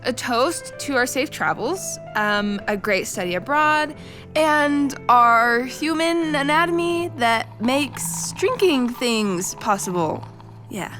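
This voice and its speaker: A woman's voice: sits high, lively intonation, slow, sharp tone, heavily acted. An actress playing a teasing, skeptical young female student in an audio drama, wry and playful. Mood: awkward. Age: young adult. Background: music.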